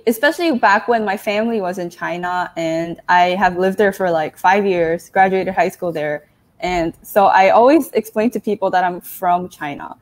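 A woman's voice: medium pitch, darkish tone. A young woman, a guest author on a video call, speaking earnestly and casually with lots of "like" and easy laughter. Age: young adult. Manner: earnest, laughs easily, many "like"s. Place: indoor room. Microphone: earphone mic on a video call.

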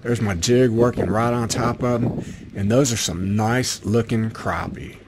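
A man's voice: fairly low pitch, a little slow. A middle-aged man giving enthusiastic, folksy running commentary while fishing, in a casual way. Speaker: fishing vlogger. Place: outdoors on a small open boat on a lake. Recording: clear outdoor wind and boat noise.

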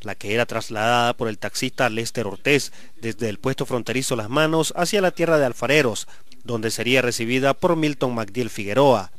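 Male news narrator, scripted voice-over, formal and steady delivery. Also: medium pitch, brisk. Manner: formal, even news read. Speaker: news reporter.

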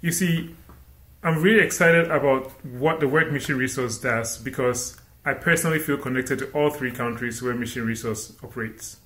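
A young man from Ghana giving an animated inspirational sermon as a guest speaker, asking the listeners rhetorical questions. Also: mid-range voice, moderate pace. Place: indoor room against a plain wall. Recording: roomy echo.

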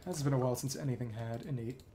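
A woman's voice: a bass voice, fast, background noise. A woman streamer giving casual live gameplay commentary, half muttering to herself with mild exclamations. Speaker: game streamer. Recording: loud game music behind voice. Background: loud game music.

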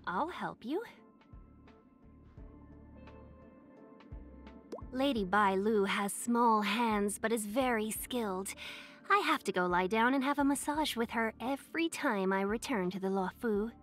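A young fox-woman merchant from a video game. Her voice sits fairly high, courteous and coy, with a playful, silver-tongued persuasiveness. Faint music plays underneath. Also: fairly bright tone. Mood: chatty, playful. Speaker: game character voice. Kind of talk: scripted video game character voice lines.

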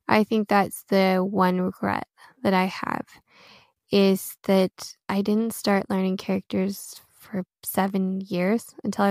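A woman's voice: medium pitch, creaky, no echo, clean studio sound. A woman with an American accent chatting casually as an interview guest, telling stories and quoting herself as she goes. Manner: chatty, self-quoting storytelling with frequent "like" and "you know". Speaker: interview guest, Chinese teacher.

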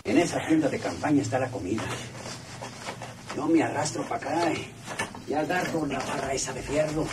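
An actor playing a hungry, nervous rustic treasure-hunter with a rural northern Mexican accent, folksy and stammering, in a radio serial. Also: male voice, mid-range voice, a little fast, long pauses, lightly acted. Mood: bossy. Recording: noisy, distant old radio-serial sound.

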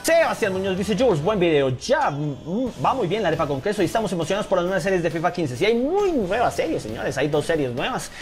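A young man chats into a desk mic in a small room, his pitch swinging widely as he narrates his football game and answers viewers. He keeps calling his audience "señores." Quiet game music plays behind him. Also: sing-song, quick pace, hardly any pauses. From Colombia. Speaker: gaming YouTuber. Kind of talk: casual gameplay commentary.

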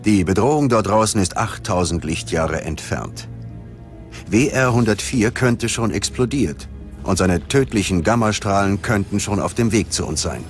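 A man narrates a documentary in a low-pitched voice. His scripted delivery is dramatic and ominous, and prominent music plays behind him. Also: expressive, moderate pace.

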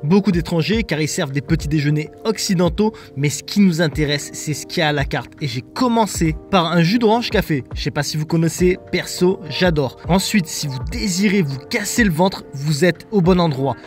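A man's voice: a little high, expressive, fairly quick, few pauses, faint music behind. A man in his thirties vlogging his travels, chatting playfully to viewers from the street and cafés with the camera at arm's length. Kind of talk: travel vlog narration.

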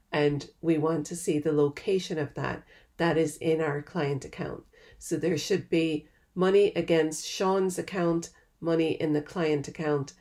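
A woman giving a step-by-step software tutorial over a screen recording, in a plain, patient manner. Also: sits low. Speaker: software trainer.

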